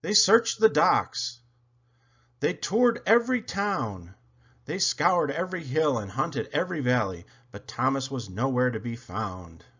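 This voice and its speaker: A man reading a children's story aloud in an expressive, dramatic, deliberate way. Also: medium pitch, breathy edge, muffled recording. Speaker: storybook reader.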